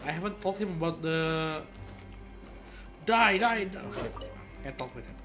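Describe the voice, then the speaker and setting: A young man giving casual gameplay commentary as a streamer, with halting, repetitive phrasing. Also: a little high, animated, bright, forceful tone, soft background music. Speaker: game streamer. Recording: clear background noise, game ambience.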